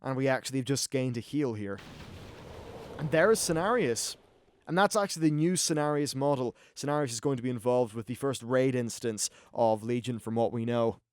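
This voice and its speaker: A man's voice: mid-range voice, fairly quick, no room sound. A man giving casual, informal let's-play commentary on an online fantasy game, mildly sweary as he reacts to what unfolds. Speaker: gaming YouTuber.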